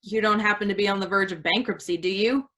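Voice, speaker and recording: An actress in a staged play reading, playing a glib, placating scammer with a dry, smooth-talking manner. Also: female voice, medium pitch, fast, bright, forceful tone, lightly acted. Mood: glib, probing.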